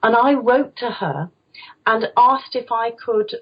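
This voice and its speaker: British woman, middle-aged, high husky voice, expert interview guest, encouraging explanations, conversational. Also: slow, highs cut off. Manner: explanatory, encouraging, checks understanding. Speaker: guest voice coach, former opera singer.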